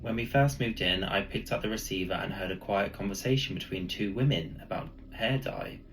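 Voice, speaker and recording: A man with a gravelly voice reading a spooky first-person story as a storyteller. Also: deep voice, lively intonation, a little fast, fairly bright tone, a little hiss or hum, poor audio. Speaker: storyteller, channel host.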